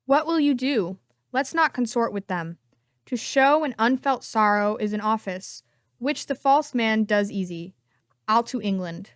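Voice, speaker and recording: A woman's voice: fairly high pitch, lively intonation, unhurried, clear, resonant tone, no echo, studio quality. A woman playing a wary, bereaved young prince with a guarded manner in an amateur Shakespeare reading. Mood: wary, resolved.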